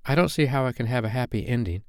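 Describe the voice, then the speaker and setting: A man voicing excitable little boys in a bedtime storybook, eager, boisterous and childlike. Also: medium pitch, quick pace, dry recording, studio quality, pitched above the performer's own voice, close to an everyday voice.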